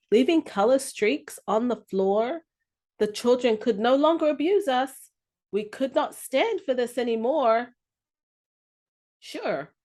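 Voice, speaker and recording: A woman reading a children's story aloud with expressive, deliberate phrasing. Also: medium pitch.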